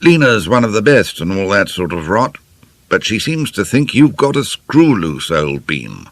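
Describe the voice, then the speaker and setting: A man voicing a loud, silly upper-class Englishman who keeps tacking "what?" onto his sentences. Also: lowish voice, big pitch swings, moderate pace, no room sound, voice pushed up. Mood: blustering. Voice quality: braying, ends lines with "what?".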